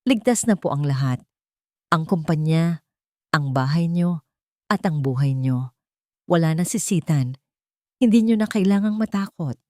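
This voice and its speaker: A woman voicing a resolute, forgiving young wife with quiet authority in a dramatic romance story. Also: deep voice, animated, a little slow, long pauses, dry recording, studio quality. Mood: tender reassurance.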